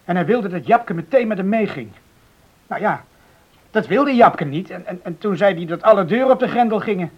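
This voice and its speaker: An actor playing a hot-headed, indignant young drifter in love in a Dutch radio play. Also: male voice, high-pitched, animated, moderate pace, slightly rough, dull audio. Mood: aggrieved. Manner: indignant, bantering, protesting.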